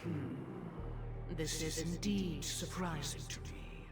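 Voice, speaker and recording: Slow, lofty dream master. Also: male voice, on the high side, fairly even intonation, music in the background. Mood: lofty, musing. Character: stately, deliberate male master of dreams, imperious. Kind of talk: dramatic video game dialogue.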